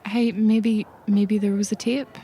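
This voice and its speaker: A woman plays a wry, straight-talking female agent in a tabletop game. Her voice is mid-pitched and her delivery dry and matter-of-fact, like everyday talk. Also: little pitch movement, takes it slowly, dark tone, slightly rough. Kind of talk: improvised tabletop roleplay.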